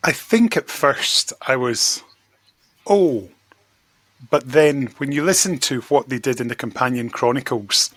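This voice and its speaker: A male podcast guest with a strikingly bright, forward voice and a very dry sound. He gives his opinions conversationally, at a medium pitch. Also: expressive, a little slow, no echo. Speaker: podcast guest, magazine editor. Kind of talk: casual chat, giving opinions.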